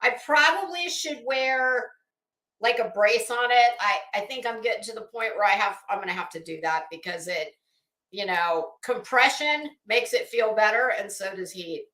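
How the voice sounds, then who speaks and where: A middle-aged woman hosting a casual livestream, chatting to viewers in a rambling, expressive way with self-deprecating asides. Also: fairly high pitch, normal speed. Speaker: livestream host. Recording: roomy, echoey indoor sound.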